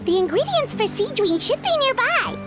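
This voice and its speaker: Game companion fairy: childlike, greedy and excitable, piercingly high squeaky pitch, swooping delivery, music underneath. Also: female voice, normal speed. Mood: cheerful, eager. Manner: exclamatory, speaks of herself in third person. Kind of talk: video game character acting.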